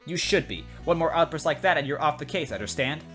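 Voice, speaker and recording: A man voicing a sweet, stern and compassionate princess who runs a police station, speaking softly in a story. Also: on the high side, lively intonation, quick pace, soft background music, voice pushed up. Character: soft-voiced, sweet but stern princess police chief.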